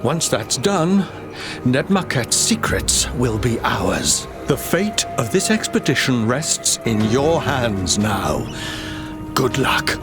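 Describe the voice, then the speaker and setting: Brief: a formal, courteous old professor, lively melodic delivery. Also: male voice, mid-range voice, takes it slowly. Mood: earnest. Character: courteous older male professor, scholarly and commanding. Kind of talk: game cutscene voice acting. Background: game music.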